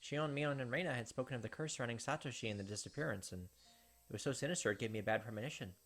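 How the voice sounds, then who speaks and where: A man's voice: mid-range voice, racing, a bit bright, a little hiss or hum. A man hosting a let's play, chatting casually and reading the game's story text aloud.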